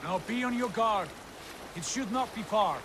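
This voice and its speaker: An actor playing a proud, commanding Viking jarl in a video game, speaking in a stately, declamatory way. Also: male voice, high-pitched, moderate pace, noisy background, close to an everyday voice. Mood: calm, assured. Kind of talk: cinematic video game acting.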